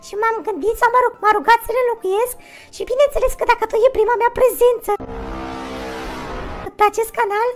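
Man as a very high-pitched girly gamer. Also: fairly even intonation. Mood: cheerful, polite. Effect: voice changer raising pitch to female. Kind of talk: casual prank call chat and hosting. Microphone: close desk microphone. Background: music.

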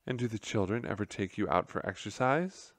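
A man voicing a curious, practical female mouse who asks plain, measured questions. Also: on the low side, animated, no echo, lightly acted. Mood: inquiring.

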